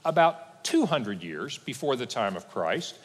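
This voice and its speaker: Male pastor, explanatory teaching sermon, animated pitch, echoing church hall. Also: unhurried, breathy edge. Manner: explanatory, with lively pitch swings and dry asides. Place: church sanctuary.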